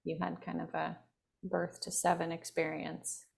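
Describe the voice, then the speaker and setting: A woman hosting a casual conversation, relaxed and curious, trailing off softly at the ends of her sentences. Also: lowish voice, measured pace, creaky. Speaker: podcast host.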